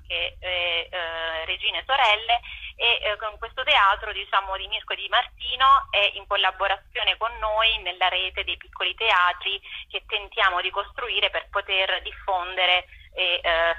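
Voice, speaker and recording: A woman's voice: medium pitch, sharp tone, breathy edge, dull audio. A woman giving explanatory interview answers over the telephone, hesitating as she searches for words. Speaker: festival artistic director, interview guest.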